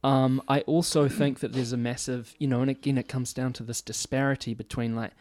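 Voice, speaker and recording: 40s guy thinking out loud, lots of restarts, dead dry sound, boom mic. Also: level tone, a little fast, no echo. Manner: thoughtful, restarts a lot, talks with his hands. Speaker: healthcare worker. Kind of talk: casual conversation.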